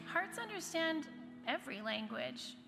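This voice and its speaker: A woman reading the part of a gentle, hopeful little female robin in a storybook, soft-spoken and earnest. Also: high-pitched, very animated, a little slow, gravelly, full character voice. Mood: questioning. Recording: reverberant hall, music behind the voice.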